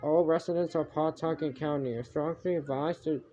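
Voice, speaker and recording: A man improvising homemade mock emergency broadcast announcements, haltingly, often repeating and correcting himself. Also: on the high side, fairly even intonation, mellow. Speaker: amateur narrator of a homemade emergency alert scenario. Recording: muffled homemade recording with background noise.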